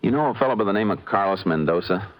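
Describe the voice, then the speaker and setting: An actor playing a calm, methodical lawman with a measured, probing manner in a vintage radio drama. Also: fairly low pitch, highs cut off, close to an everyday voice. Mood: measured questioning. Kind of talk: 1950s radio acting. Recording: hissy vintage radio recording.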